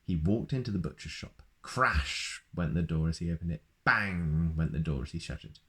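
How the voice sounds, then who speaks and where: Low-voiced man reading a picture book. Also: normal speed. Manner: lively, playful read-aloud with sound effects. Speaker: storyteller.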